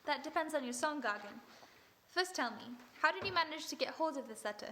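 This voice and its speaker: A woman playing a composed, sharp detective in an old-time radio drama, cool and in command. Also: sits high, expressive, brisk, a little hiss or hum.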